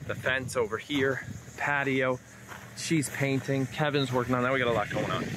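A man's voice: medium pitch, fairly even intonation, moderate pace, noisy background. A man in his forties walking around a farm, talking casually and joking while he films himself at arm's length. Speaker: farm vlogger. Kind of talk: casual vlog narration. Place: outdoors on a farm. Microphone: handheld camera at arm's length.

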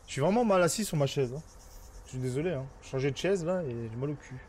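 A man's voice: fairly high pitch, animated, fast talker, a little mellow, slight background noise. A man in his thirties streaming a police roleplay game, bossing and teasing a recruit in a playful, mock-commanding way on a headset. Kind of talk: casual roleplay banter. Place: home gaming room.

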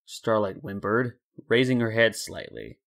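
A man's voice: lowish voice, big pitch swings, unhurried, vocal fry, fairly bright tone. A man narrating a scripted story in a dramatic reading style. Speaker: narrator and fan fiction reader.

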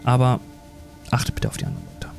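A man's voice: medium pitch, huge pitch swings, fast, gravelly, a little mellow, faint music behind. A man doing casual, rambling let's play commentary in a self-deprecating, chatty way. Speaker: gaming YouTuber.

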